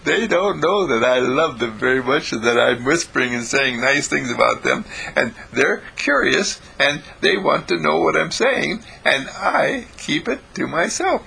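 A man's voice: on the high side, animated, a little slow, creaky, hardly any pauses, bright, forceful tone, husky, pitched above the performer's own voice, heavily acted, low-quality recording. A man voicing a mischievous, affectionate littlest boy of the family, speaking in a stage whisper in a storybook tale. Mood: gleeful, confiding.

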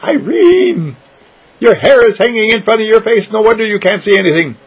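A man's voice: sits high, very animated, normal speed, breathy edge, pitched above the performer's own voice, heavily acted, poor audio. A man voicing a playful, teasing older woman patient lying in bed.

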